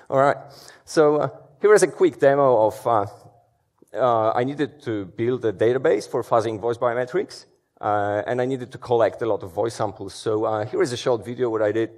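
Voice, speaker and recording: A man's voice: medium pitch, moderate pace, a little mellow. Man, 30s, conference speaker, chatty with fillers, big auditorium. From Poland. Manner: conversational, many fillers and restarts. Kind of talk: conference talk. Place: large dark auditorium stage.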